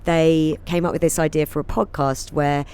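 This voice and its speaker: A woman, a comedian and singer, chatting as a guest in an interview, laughing often and going off on quick asides. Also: sits low, flat delivery, a little mellow, clear, resonant tone. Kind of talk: casual interview chat.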